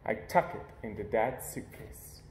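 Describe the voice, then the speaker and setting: A man reading a children's picture book aloud in an expressive storytelling style. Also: fairly low pitch, a little slow, echoey room, slight background noise.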